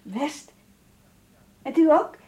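A woman playing an earnest, lovestruck young girl in a radio play, emotional and yearning, with a breathy, husky voice. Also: high-pitched, big pitch swings, extremely slow, darkish tone, slight background noise, heavily acted. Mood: shy, polite. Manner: emotional, yearning, polite and shy. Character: lovestruck, earnest young schoolgirl graduate reading her diary. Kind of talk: Dutch radio play acting, diary narration and dialogue.

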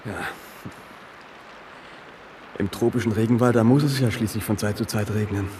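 A man playing a gruff, practical workman in a radio play, muttering and halting as he speaks. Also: lowish voice, steady tone, darkish tone, natural voice. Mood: matter-of-fact. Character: gruff, practical male demolition worker absorbed in work. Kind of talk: naturalistic radio play acting. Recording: noisy outdoor ambience with birdsong.

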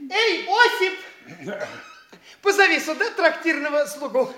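An actor playing an airy, pretentious young gentleman in a theatrical radio play, with affected, exclaiming delivery. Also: very high-pitched, sing-song, slow, background noise, heavily acted. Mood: gracious, effusive. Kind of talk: theatrical Russian classic radio play acting.

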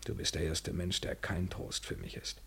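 An actor in a literary German radio play playing a brooding, bitter lover, intense and eloquent, swinging from hushed to vehement. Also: male voice, low voice, little pitch movement, moderate pace, slightly rough. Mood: hushed, anguished. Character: brooding, bitter lover, a man wrestling with himself.

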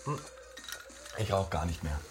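An actor playing a disillusioned young student and model in a naturalistic radio drama, talking in a terse, colloquial way with music underneath. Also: male voice, deep voice, sing-song, unhurried. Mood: jaded, casual. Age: young adult.

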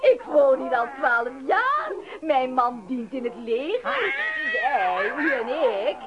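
Seductive witch, scheming, theatrical, wildly animated pitch, radio play, muffled recording. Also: takes it slowly, crowd noise, full character voice. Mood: indignant, coaxing. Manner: theatrical, coaxing, defiant. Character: scheming witch disguised as a beautiful seductive innkeeper's wife. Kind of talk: radio drama acting.

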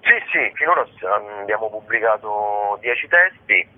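A man's voice: fairly low pitch, animated, normal speed, no echo. A man giving an informal interview as a guest, explaining things hesitantly as he searches for words, over a telephone. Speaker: guest, curator of a digital theatre book series. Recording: phone-line sound on radio.

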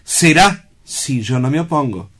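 An actor playing a courteous, wry male doctor who runs an institution, measured, formal and dryly probing. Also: mid-range voice, animated, fairly bright tone. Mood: inquisitive. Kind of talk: theatrical stage-comedy acting.

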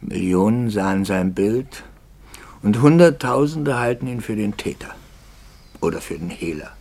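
An actor playing a troubled, anxious priest in a 1950s German radio drama, brooding and articulate, moving between hushed and impassioned speech. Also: male voice, lowish voice, animated, a little slow, vocal fry, full character voice. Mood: bitter, impassioned. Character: troubled, anxious priest, intense and probing, quietly bitter.

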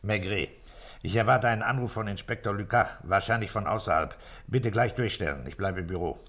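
Middle-aged police inspector, gruff, lowish voice, terse, dry, commanding, vintage radio drama. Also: male voice, fairly quick, a bit bright. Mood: curt. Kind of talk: 1960s German radio play acting.

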